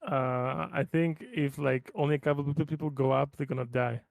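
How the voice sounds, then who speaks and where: A man with a creaky, gravelly voice of middling pitch plays a tabletop game. His talk is casual and sweary, and the recording is close and dry. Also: moderate pace, no echo.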